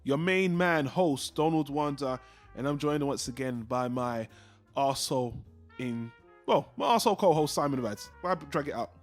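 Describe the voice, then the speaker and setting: A man's voice: medium pitch, normal speed, soft background music. A man hosting a casual movie review podcast in a blokey, bantering, sweary way. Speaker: podcast host.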